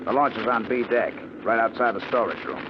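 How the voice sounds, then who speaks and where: An actor as a controlled gang boss, noisy old radio. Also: male voice, mid-range voice, close to an everyday voice. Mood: brisk, commanding. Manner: controlled, deliberate, commanding. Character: calm, menacing male gang leader plotting a ship hijacking. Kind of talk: 1950s radio drama acting and narration. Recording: noisy, muffled 1950 radio recording.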